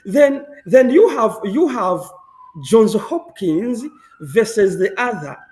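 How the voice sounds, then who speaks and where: A young man from Zambia, speaking as an interview guest, animated and emphatic, laughing often and repeating himself for emphasis. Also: high-pitched, slow pace, slight background noise. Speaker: guest, public health professional. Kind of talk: conversational interview answers.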